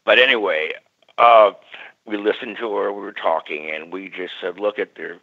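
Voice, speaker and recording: An older male parapsychologist telling stories as a radio guest, lively, acting out quoted voices. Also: lowish voice, moderate pace. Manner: animated, acts out quoted voices. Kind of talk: storytelling in a radio interview. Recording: muffled radio audio.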